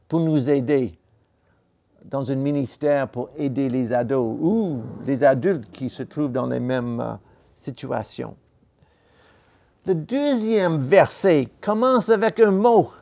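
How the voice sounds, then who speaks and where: A man preaching a sermon in a church, a non-native speaker of the language, talking slowly and deliberately with pauses and self-corrections. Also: a little high, warm tone. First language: not French.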